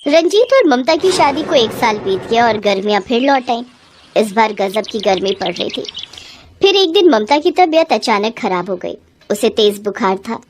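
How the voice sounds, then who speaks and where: A woman narrating a cartoon storybook with lively, scripted delivery. Her voice sits a bit high for a woman, and she carries the story forward brightly. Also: moderate pace, slight background noise.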